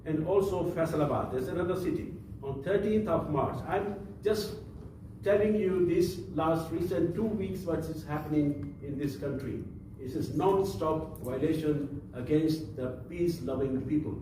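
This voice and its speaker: A male activist gives testimony and reads out demands, halting and restarting as he goes. His voice is caught from far off in a very reverberant room, with clear background noise. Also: fairly high pitch. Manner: halting, listing items with restarts. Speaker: activist speaker. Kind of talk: testimony and list of demands at a panel. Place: large conference room. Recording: echoey room, distant mic, noisy.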